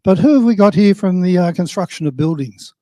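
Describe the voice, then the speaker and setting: Older man, Australian accent, high voice, dry close sound, informal conference speaker, wry, plain-spoken. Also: animated, brisk, dark tone, dry recording.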